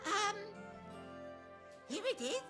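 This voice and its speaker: Tiny cartoon schoolboy, giggly, cheeky, very high pitch, slow animated delivery. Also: female voice, over music, heavily acted. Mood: eager. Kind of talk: animated comedy voice acting.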